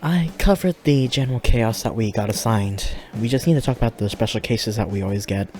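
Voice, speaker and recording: A man's voice: mid-range voice, a little fast, a little mellow, faint music behind, close to an everyday voice. A man playing a flirty, teasing demon who reports and hosts in a fiction podcast. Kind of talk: fiction podcast banter and monologue.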